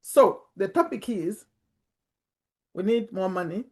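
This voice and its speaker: A woman's voice: mid-range voice, lively intonation, slow pace, a little mellow. A woman in her fifties with a Ugandan accent, giving an informal motivational talk and repeating words for emphasis. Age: 50s. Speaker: personal finance YouTuber and community host. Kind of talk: informal motivational talk to camera and online group.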